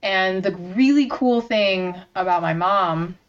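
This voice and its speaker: A woman guest chef talking in a loose, chatty way, piling on filler words as she tells her stories. Her pitch sits at an ordinary middle level. The recording sounds a little dull and lo-fi. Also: slow pace, clean tone. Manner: chatty and enthusiastic, many "like" fillers. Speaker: chef and baking instructor, interview guest. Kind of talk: casual conversational storytelling.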